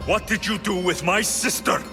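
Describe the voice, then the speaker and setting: An actor playing a vengeful young mercenary hero in a video game, with an intense, brooding manner that flares into anger. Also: male voice, high-pitched, moderate pace, vocal fry. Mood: angry. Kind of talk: dramatic video game acting. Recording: loud music behind the voice.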